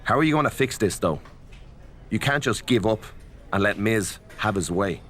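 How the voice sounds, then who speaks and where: Low-pitched, earnest wrestler ally. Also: male voice, animated, normal speed, a bit bright, a little hiss or hum. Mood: concerned. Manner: earnest, supportive. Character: sympathetic, eager male wrestler ally. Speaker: wrestler character. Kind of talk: conversational video game cutscene.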